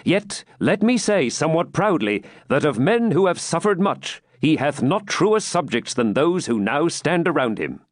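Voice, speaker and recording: A man's voice: mid-range voice, big pitch swings, unhurried, no room sound, studio quality, voice pushed up. A man voicing a gallant, proud outlaw leader in a dramatic storybook reading, with courtly, archaic speech. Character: gallant, proud male outlaw leader, loyal to his king.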